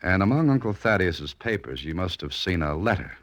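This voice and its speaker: A man's voice: sits low, lively intonation, brisk, no echo. A man playing a cool, wry novelist turned amateur sleuth in a 1940s radio drama, with a dry, teasing, understated manner. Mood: wry pressing. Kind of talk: 1940s radio drama acting and first-person narration. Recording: vintage radio recording with music.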